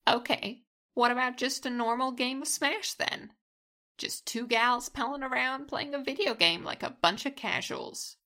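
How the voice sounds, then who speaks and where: A woman's voice: sits high, unhurried, bright, forceful tone, exaggerated voice. A woman playing a cocky young gamer who goads her rival in a casual, taunting way in an audio drama. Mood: playful challenge.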